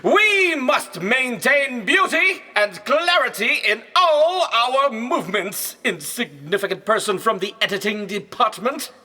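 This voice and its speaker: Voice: medium pitch, expressive, unhurried, few pauses, sharp tone. An actor playing a pompous, fussy thousand-legged millipede who directs traffic in a preachy, theatrical way in a comedy audio drama. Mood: haughty. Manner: theatrical, chanting step counts, preachy.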